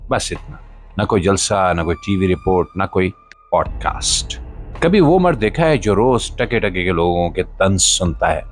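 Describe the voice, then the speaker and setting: A man narrates a scripted spoken-word monologue in a solemn, emphatic, rhetorical manner, with short pauses between phrases. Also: low voice, big pitch swings, slight background noise. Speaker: podcast host and voiceover narrator. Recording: voice-over with music behind.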